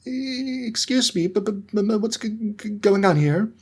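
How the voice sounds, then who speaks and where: A man's voice: high voice, darkish tone, husky, pitched above the performer's own voice. A man voicing a nervous male professor who stutters and repeats himself. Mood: flustered. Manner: stammering, halting.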